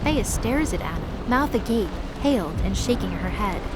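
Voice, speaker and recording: A woman's voice: mid-range voice, expressive, moderate pace, very noisy. A woman reading scripted narration for an audio drama. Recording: loud music behind the voice. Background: loud music.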